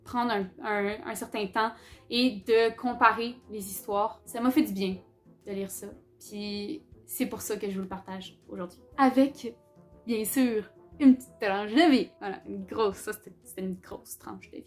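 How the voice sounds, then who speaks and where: A woman's voice: medium pitch, steady tone, a little slow, faint music behind. Young quebecoise girl chatting about books, casual and candid, drops english words and some swearing. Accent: Quebec French. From Abitibi-Témiscamingue, Quebec. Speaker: book YouTuber. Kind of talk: casual book-review vlog.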